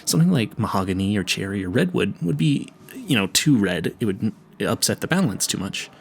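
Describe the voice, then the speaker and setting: A man's voice: fairly low pitch, sing-song, a little fast, creaky, breathy edge, heavily acted. A man playing a nervous, clueless young vampire hunter in an audio roleplay, stammering and easily sidetracked as he earnestly chats to the listener. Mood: excited, proud.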